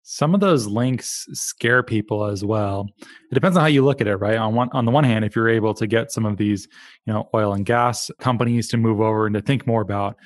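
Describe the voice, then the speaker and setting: A man's voice: lowish voice, fairly quick, no room sound, clean studio sound. A man hosting a podcast interview, chatty and playful with self-deprecating jokes. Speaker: podcast host. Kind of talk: conversational interview.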